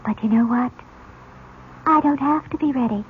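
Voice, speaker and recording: An actress in a 1970s radio mystery playing a sly teenage psychic girl, with a breathy, theatrical delivery. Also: high voice, moderate pace. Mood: sly, taunting. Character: sly teenage girl psychic, taunting, feigning eerie trances. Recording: tinny old radio recording with music.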